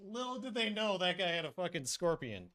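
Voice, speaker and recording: A young man livestreaming a game, chatting casually and swearing freely, his voice going hoarse after hours of talking. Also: sits high, fairly quick, dry recording, clean studio sound. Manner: swears freely, laid-back, bursts into excitement. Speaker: streamer. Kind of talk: casual gaming livestream chat.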